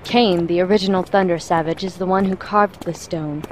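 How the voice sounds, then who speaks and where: Lowish voiced assassin lady woman acting, wry n matter of fact, audio drama, noisy background. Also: steady tone, moderate pace, natural voice. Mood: explaining. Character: composed, wry female assassin with magic.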